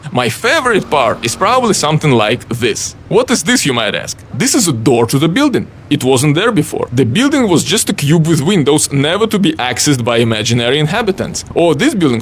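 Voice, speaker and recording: A man's voice: medium pitch, a little hiss or hum. A man who speaks English as a second language, narrating a scripted game mod showcase as its creator, with playful, wry asides. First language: not English. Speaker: video creator and game modder.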